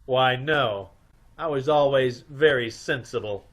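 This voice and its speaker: A man's voice: medium pitch, unhurried, natural voice. A man playing a wry, cool private detective with a dry, teasing manner, in an old-time radio mystery. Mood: amused, teasing.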